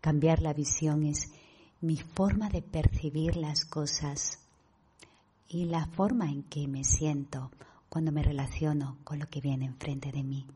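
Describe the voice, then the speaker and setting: Spanish lady from spain doing guided meditation, super breathy husky voice, mellow and calm, takes her time with pauses. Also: sits low. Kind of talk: guided meditation talk to an online audience.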